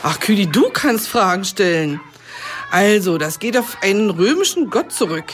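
A woman's voice: mid-range voice, sing-song, moderate pace, natural voice. A woman playing a patient old kindergarten teacher who lectures the children in a radio comedy for kids. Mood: explaining. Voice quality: explaining, singsong listing. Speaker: kindergarten teacher Frau Hühnerbein.

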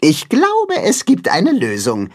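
Hohes, eifriges Katzenhuhn-Männchen, von einem Mann gesprochen, Hörbuch, belegte Stimme. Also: male voice, high-pitched, wildly swooping pitch, takes it slowly, darkish tone, pitched above the performer's own voice, exaggerated voice. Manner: eifrig, freundlich. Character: fröhliches kleines männliches Katzenhuhn, hilfsbereit.

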